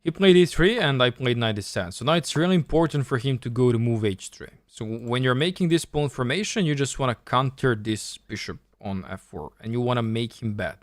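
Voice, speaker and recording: A man's voice: mid-range voice, expressive, brisk, hardly any pauses, no room sound, studio quality. A man from Serbia with a Serbian accent, thinking aloud and explaining step by step as he coaches on a live chess stream.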